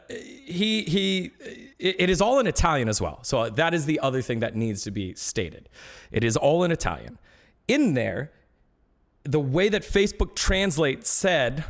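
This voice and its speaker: A man talking casually on a live stream about esports, ranting with growing indignation. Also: mid-range voice.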